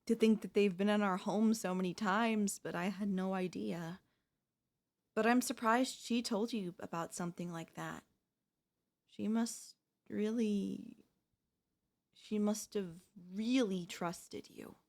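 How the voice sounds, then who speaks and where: A woman playing a devoted, possessive wife in an ASMR audio roleplay, speaking haltingly with deliberate dramatic pauses. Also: medium pitch, darkish tone. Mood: puzzled, suspicious. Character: devoted wife, shocked then eerily composed, quietly possessive.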